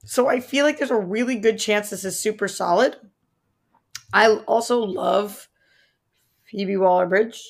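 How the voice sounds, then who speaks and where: A woman's voice: medium pitch, unhurried, gravelly, long pauses. A woman co-hosting a movie show, chatting in an informal, slangy way about films. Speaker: co-host of a movie news show. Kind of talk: casual movie discussion.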